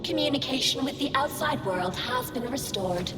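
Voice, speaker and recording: A woman's voice: sits high, lively intonation, moderate pace. A woman voicing a seductive, defiant female artificial intelligence in a video game, speaking in a sultry, theatrical, taunting way. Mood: submissive, formal. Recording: echoey long reverb, loud music behind. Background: loud game music.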